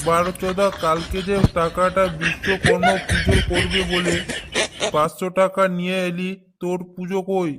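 A man voicing a middle-aged, foul-mouthed neighbourhood uncle in a comedy cartoon, blunt and quick to curse. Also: sits high, flat delivery, fairly bright tone, a little hiss or hum. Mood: angry. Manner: blunt, scolding, full of curses.

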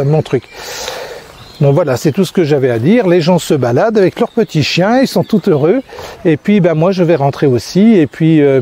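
A man's voice: on the high side, mellow, slight background noise. Man in his sixties vlogging outdoors. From France. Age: 60s. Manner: rambling, hesitant, frequent fillers and restarts. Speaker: crêpe-making trainer. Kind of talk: casual vlog monologue. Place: park outdoors. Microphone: phone at arm's length, selfie framing.

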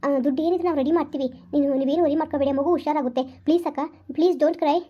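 A woman voicing a kind young woman who gently comforts a crying relative over the phone. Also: high-pitched, steady tone, very fast, muffled recording, natural voice. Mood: soothing. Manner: soothing, reassuring.

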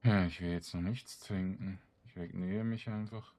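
A man's voice: deep voice, fairly even intonation, normal speed, warm tone. A man giving casual let's-play commentary, grumbling in exasperation and muttering to himself as he plays. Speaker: video game streamer.

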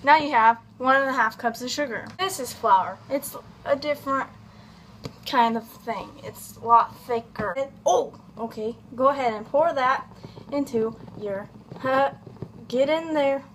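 Young woman, high voice, slow pace, playful home cooking host, casual, goofy asides, light kitchen noise. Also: a bit bright, poor audio. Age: young adult. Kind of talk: casual comedic cooking tutorial. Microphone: camera on counter at about arm's length. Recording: background kitchen noise, clicks.

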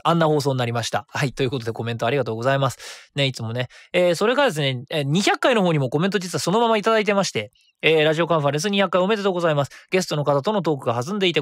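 Quick, chatty man hosting web radio. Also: on the high side, a bit bright, dry recording, clean studio sound. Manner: chatty, rambling, many fillers. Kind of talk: solo radio talk, reading listener comments.

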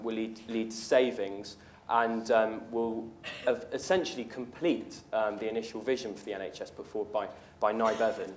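A man's voice: fairly low pitch, a little hiss or hum. A young man, a political candidate, answering audience questions in a university lecture hall in an earnest way, with many ums and restarts. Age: young adult. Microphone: room mic at a lectern, far camera.

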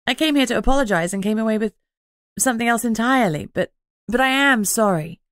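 A woman's voice: on the high side, lively intonation, brisk, a bit bright, no room sound, studio quality, pitched above the performer's own voice. Woman reading as a decisive young werewolf alpha lady, earnest, audiobook dialogue, no room sound.

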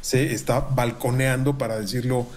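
A middle-aged Mexican man, a university researcher, giving measured, formal analysis from a room at home over a video call, with frequent filler pauses. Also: mid-range voice, little pitch movement, darkish tone. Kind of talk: analytical commentary. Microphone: video call webcam.

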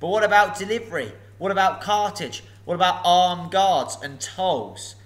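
A man voicing a huge, boastful old male dragon, slow and emphatic as he gloats and threatens. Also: high voice, fairly bright tone, voice pushed up. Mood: mocking.

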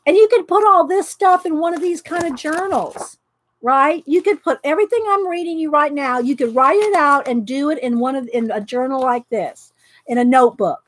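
Loud, chatty high-voiced woman. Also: no echo. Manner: loud, chatty, laughs often. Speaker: craft video host. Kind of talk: casual live craft chat. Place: home craft room. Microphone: overhead camera pointing down at desk.

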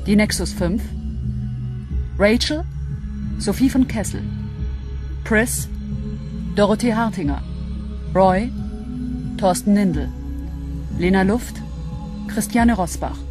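A woman reading radio drama credits as an announcer, in a measured, clipped list delivery. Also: medium pitch, over music.

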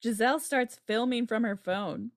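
A woman's voice: on the high side, normal speed, dry recording. Woman reading stage directions, dry sound. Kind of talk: scripted narration. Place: indoor room at home on video call. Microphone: video call.

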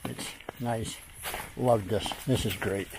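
An older man casually narrating his hike on a snowy forest trail, talking into a handheld camera with relaxed, rambling pauses. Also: on the low side, background noise. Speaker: hiker vlogging a trail. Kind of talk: casual narration while hiking. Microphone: handheld camera at arm's length.

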